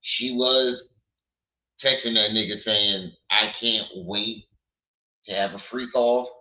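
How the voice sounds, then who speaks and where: Hoarse, blunt man livestreaming. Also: lowish voice, steady tone, slow pace, bright, forceful tone, rough recording. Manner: blunt, laughs often, greets chat viewers. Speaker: livestream host. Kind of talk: casual livestream commentary.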